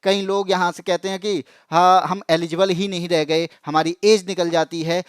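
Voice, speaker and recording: A man's voice: high voice, little pitch movement, moderate pace, clean tone, no echo, studio quality. A man presenting an explanatory news update to students, emphatic and repeating phrases for stress. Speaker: exam coaching channel presenter.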